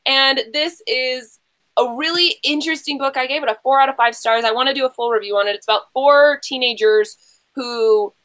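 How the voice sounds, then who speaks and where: A woman with a fairly high, sharply bright voice hosts a casual online game show. She is chatty and gushing. She rambles, breaks off mid-thought and drops in slangy asides as she goes. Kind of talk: casual livestream hosting, chatty.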